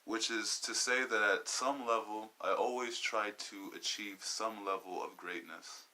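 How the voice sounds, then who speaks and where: A young man in his twenties from Southern California with a low voice and a very bright, tense edge. He talks through a personal podcast monologue in a halting, wandering way, often stopping to search for the next word. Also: steady tone, poor audio. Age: 20s. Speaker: podcast host.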